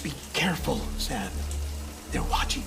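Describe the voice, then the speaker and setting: A man's voice: mid-range voice, animated, unhurried, vocal fry, over music. An actor playing a confiding, wry male technical expert in a video game cutscene, wordy and conversational. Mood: hushed warning.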